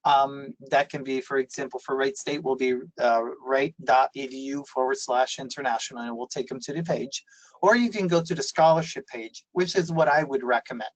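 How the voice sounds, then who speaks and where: A man's voice: medium pitch, brisk, poor audio. A man, raised in Italy, giving a webinar presentation on a video call and explaining things with many fillers and restarts. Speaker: university presenter.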